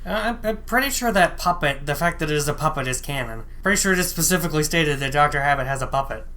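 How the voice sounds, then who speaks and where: A woman co-hosting a podcast, chatting casually about video games in a dry, precise, measured manner. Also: deep voice, lively intonation, fairly bright tone. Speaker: podcast co-host.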